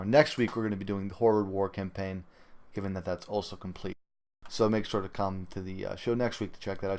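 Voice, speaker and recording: Man, lowish voice, podcast host, casual gaming chat, halting and rambling delivery. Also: a little mellow. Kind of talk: casual gaming talk show commentary.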